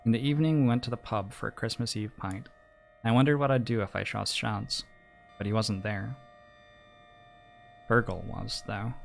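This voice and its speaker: A man reading the text of a story game aloud in measured phrases, as a streamer narrating. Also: on the low side, fairly even intonation, creaky, faint music behind.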